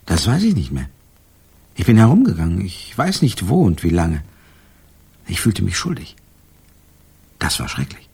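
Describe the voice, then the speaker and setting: An actor playing a brooding, jealous man with a husky, breathy voice, formally recalling a night in a radio crime drama. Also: low-pitched, wildly swooping pitch, moderate pace, pauses often, a little mellow, heavily acted. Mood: guilty, brooding.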